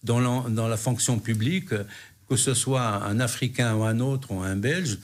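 A man's voice: mid-range voice, steady tone, moderate pace. A middle-aged man from Morocco, answering interview questions in a hesitant way, with many fillers and restarts. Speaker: guest.

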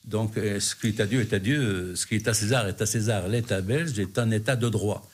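A man's voice: fairly low pitch, normal speed. A middle-aged man from Morocco, answering interview questions in a hesitant way, with many fillers and restarts. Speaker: guest.

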